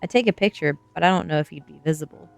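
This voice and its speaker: A woman's voice: deep voice, fast talker, gravelly, soft background music. Woman as a mocking, snobbish teenage boy. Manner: taunting.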